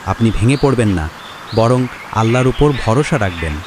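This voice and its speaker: A man playing a kind, respected village imam in a cartoon moral story, calm and reassuring in a preacherly way. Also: lowish voice, normal speed, lightly acted. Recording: noisy track with birds and insects.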